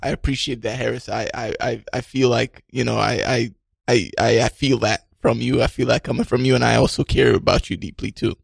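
A man's voice: mid-range voice, fairly even intonation, fairly quick, vocal fry, slightly rough, no room sound. A man playing an awkward, stammering man at a support-group meeting. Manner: hesitant, stammering. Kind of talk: improvised comedy scene.

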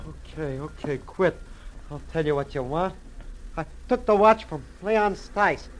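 An actor playing a shifty, grasping small-time crook in a 1940s radio crime drama, slangy and evasive. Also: male voice, a little high, expressive, measured pace, poor audio. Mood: cornered, grudging.

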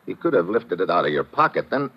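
An actor playing a tough, wisecracking detective in old-time radio drama, with clipped, slangy hard-boiled talk. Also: male voice, low voice, very animated, fast talker, breathy edge, exaggerated voice. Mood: probing. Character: tough wisecracking male detective, dogged and wry. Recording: dull vintage radio recording.

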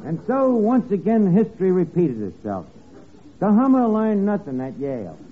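An actor playing an earnest detective sidekick who narrates a 1950s radio comedy spoof with mock-serious emphasis. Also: male voice, sits high, very animated, moderate pace. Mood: dramatic narrating. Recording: crackly old radio broadcast, narrow band, studio audience.

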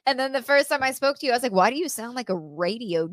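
A woman's voice: on the high side, lively intonation, fast talker, fairly bright tone, dry recording, clean studio sound. A woman co-hosting a podcast in casual chat, playful and teasing, laughing easily. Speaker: podcast co-host.

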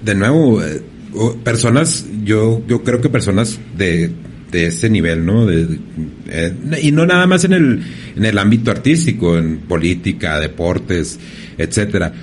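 A man from hosting a casual interview, relaxed and slangy with frequent restarts. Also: fairly low pitch, measured pace, a little hiss or hum. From Ciudad Juárez, Mexico. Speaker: podcast host.

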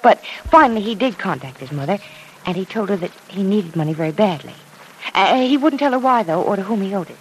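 A woman's voice: mid-range voice, animated, quick pace, close to an everyday voice. Actress as a teasing young woman, old-time radio. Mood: earnest, explaining. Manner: teasing, conversational. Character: teasing young single woman investigator, good-natured and candid. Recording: noisy vintage radio broadcast recording.

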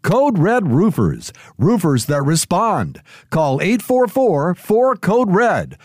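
A man's voice: high voice, wildly swooping pitch, slow pace, no echo, clean studio sound. A male announcer reading a radio sponsor spot in an upbeat, punchy sales style. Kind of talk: radio ad read.

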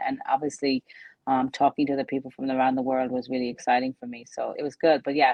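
A woman's voice: rumbling low, darkish tone, no room sound, dull audio. A middle-aged woman, a hotel general manager telling her career story in an interview with enthusiasm. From Ireland. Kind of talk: casual interview chat.

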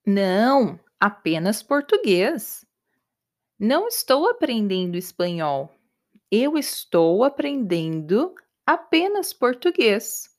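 A woman voicing an Irish woman who teaches English abroad, answering slowly and repeating herself carefully for learners. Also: mid-range voice, big pitch swings. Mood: patient. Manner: slow, repetitive, carefully clear answers.

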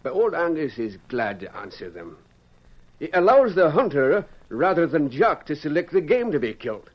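An actor playing a kindly old Scottish falconer with a thick accent, in 1940s radio acting style. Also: male voice, a little high, very animated, normal speed. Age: older. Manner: hearty, burring, fatherly. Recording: dull old radio recording with faint hiss.

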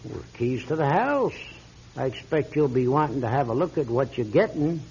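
An actor playing a polite, fussy lawyer in a classic radio drama, speaking formally and hesitantly. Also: male voice, mid-range voice, lively intonation, moderate pace, lightly acted. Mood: formal, measured. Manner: formal, hesitant, throat-clearing. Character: polite, fussy male lawyer reading a will. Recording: dull lo-fi radio recording.

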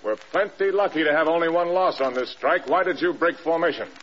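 An actor playing a stern, demanding American air force general in clipped, blunt classic radio drama style. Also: male voice, fairly high pitch, fairly quick, a bit bright, slight background noise, natural voice. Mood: brisk, commanding. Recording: dull, band-limited old radio recording.

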